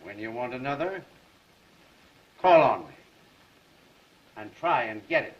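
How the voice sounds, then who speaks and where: A man's voice: mid-range voice, animated, unhurried, natural voice. Man playing a stern, muffled-sounding newspaper boss. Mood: stern, commanding. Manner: clipped, sardonic, authoritative. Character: stern, sarcastic male newspaper editor, the boss. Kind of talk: 1930s film acting. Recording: muffled 1930s film soundtrack.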